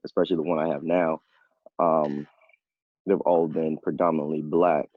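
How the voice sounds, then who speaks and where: Deep voiced guy chatting, blunt and unbothered, bit dull lo-fi mic sound. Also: little pitch movement, normal speed, no echo. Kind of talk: casual chat.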